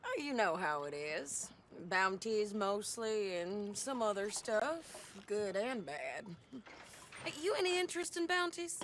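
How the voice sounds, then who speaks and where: A woman's voice: medium pitch, sing-song, measured pace, a bit bright, slight background noise. An American woman acting a brash, hard-living female bounty hunter in a Western video game, with a drawling, folksy way of talking. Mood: casual, wry. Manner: drawling, folksy rural speech. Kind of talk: video game dramatic dialogue.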